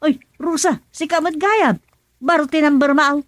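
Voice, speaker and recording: A woman's voice: high-pitched, unhurried, no room sound, clean studio sound. An actress playing a practical, agreeable middle-aged village mother in a rural radio comedy drama. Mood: curious, agreeable.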